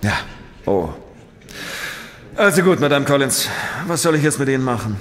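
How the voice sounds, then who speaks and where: A dry, world-weary police inspector, played by a man questions someone in a radio play. His sceptical voice sits at an average pitch with long reverb around it. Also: lively intonation, moderate pace, a bit bright, close to an everyday voice. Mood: weary, grumbling. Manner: dry, interrogating. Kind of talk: German audio drama dialogue.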